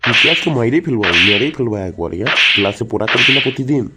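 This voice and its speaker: A man's voice: medium pitch, animated, racing, heavy background noise, close to an everyday voice. A man voicing a strict, hot-tempered schoolteacher in a comic cartoon, scolding and blustering. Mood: angry scolding. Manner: scolding, blustering, coarse-tongued. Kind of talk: comic cartoon dialogue in Bengali village school skit.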